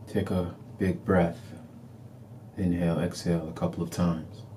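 A man leading a guided meditation in short repeated phrases, with a calm, deliberate, soothing way of instructing. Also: deep voice, slight background noise.

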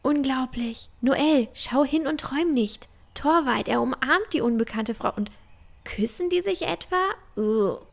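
Clever, curious young heroine played by a woman, bossy know-it-all, expressive, fairly high with a fry edge, fantasy audio drama. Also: unhurried, heavily acted. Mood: exasperated.

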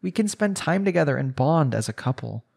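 A man's voice: fairly high pitch, expressive, brisk, vocal fry, darkish tone, slightly rough, exaggerated voice. Man acting a lovestruck, earnest young husband. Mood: jealous, pleading. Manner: earnest, pleading, sighing delivery. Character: jealous, lovestruck young husband pleading with his spouse. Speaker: audio roleplay voice actor. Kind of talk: intimate romantic audio roleplay, one-sided dialogue.

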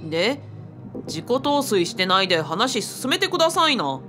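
An actress playing a wry, teasing, often exasperated companion to a spirit in a radio drama, with sardonic banter. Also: female voice, medium pitch, big pitch swings, moderate pace, over loud music, lightly acted.

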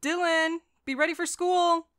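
A woman's voice: very high voice, steady tone, normal speed, fairly bright tone. A woman playing a nagging, disapproving mother of a teenage boy in an audiobook drama. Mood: impatient calling.

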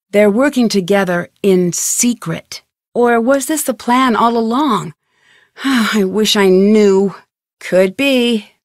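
A woman acting as young female sleuth, adventure game dialogue, medium pitch, earnest, level-headed, matter-of-fact, dry studio sound. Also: no echo, close to an everyday voice.

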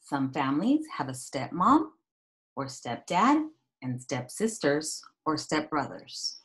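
Woman, thirties, teacher read-aloud for young children, fairly low pitch, highly varied melody, simple phrasing. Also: sing-song, slow. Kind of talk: children's picture book read-aloud and talk to young pupils. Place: small indoor room at home.

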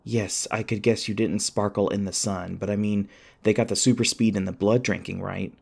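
A man playing an anxious, sarcastic young college student in an audio roleplay, rambling and halting in a wry, flustered way. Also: on the low side, steady tone, creaky, a little mellow, husky. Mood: curious, wry. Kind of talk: audio roleplay acting, one-sided dialogue.

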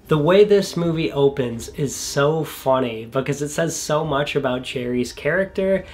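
A young man sits in a small home studio and talks through films he likes. He sounds a little congested, as if getting over a cold. His manner is relaxed and enthusiastic, with plenty of filler words along the way. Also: mid-range voice. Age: young adult. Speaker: film reviewer. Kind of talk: casual scripted review. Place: small bedroom studio indoors.

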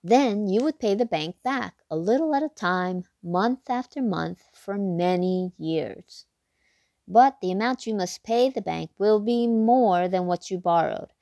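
Expressive woman reading a picture book aloud. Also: unhurried, gravelly, no room sound, studio quality. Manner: expressive storybook reading with big excited pitch jumps. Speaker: read-aloud host.

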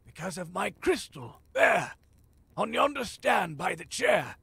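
An actor playing a frail, cursed philosopher in a fantasy audio drama, solemn and theatrical, with a gravelly, husky voice. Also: male voice, high-pitched, very animated, a little slow, bright, forceful tone, no room sound, full character voice. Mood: grave, secretive. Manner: theatrical, portentous, archaic phrasing.

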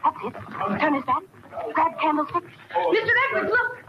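Spoiled defiant teen heiress, 19, old radio drama, voice swoops all over, noisy old recording. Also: female voice, high-pitched, sing-song, moderate pace, highs cut off. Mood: tense inner monologue, then frightened, threatening. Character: spoiled, defiant nineteen-year-old rich young woman.

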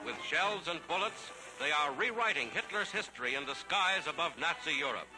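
A man's voice: fairly high pitch, lively intonation, normal speed, poor audio. A man with an American accent reads scripted 1940s newsreel narration in a declamatory, dramatic announcer's manner on a vintage soundtrack. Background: loud music.

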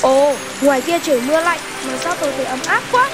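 An actor voicing a small, fussy but affectionate young animal in a children's cartoon, childlike and expressive. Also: high voice, a little fast. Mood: contented. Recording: music bed, rain sounds behind.

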